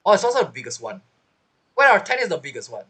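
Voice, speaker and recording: A man's voice: sits high, wildly swooping pitch, fast talker, bright, forceful tone, breathy edge. A young man doing casual, joking playthrough commentary, reacting aloud to a video game. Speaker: gaming streamer.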